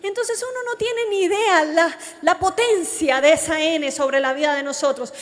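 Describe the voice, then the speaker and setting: High-voiced Colombian woman preaching in reverberant hall. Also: normal speed. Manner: animated storytelling, addresses listeners directly. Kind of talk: youth sermon with personal testimony. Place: large reverberant meeting hall. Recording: long hall reverb from a sound system.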